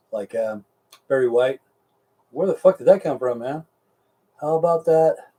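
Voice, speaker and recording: Mellow-voiced, foul-mouthed American man rambling. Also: medium pitch, husky, distant mic, poor audio. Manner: profane, blunt, folksy. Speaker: live stream host. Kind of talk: casual rambling chat with viewers.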